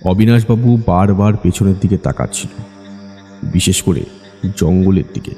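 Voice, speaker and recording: A man's voice: deep voice, normal speed. A man narrating a scripted ghost story for an audiobook, with long reverb on his voice. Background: soft music.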